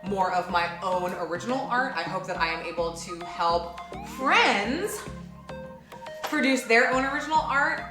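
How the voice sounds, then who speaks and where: Woman in a roomy, echoey interview. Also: mid-range voice. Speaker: voice actor in an audio drama. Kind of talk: casual, reflective cast interview. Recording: roomy echo with music behind. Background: music.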